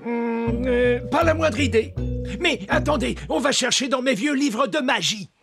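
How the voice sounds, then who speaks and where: Bumbling old gnome wizard, gravelly, slow, kindly, hesitant, theatrical, children's cartoon. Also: mid-range voice, over loud music. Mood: puzzled. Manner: hesitant, muttering, theatrical when casting spells. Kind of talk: animated children's cartoon dialogue.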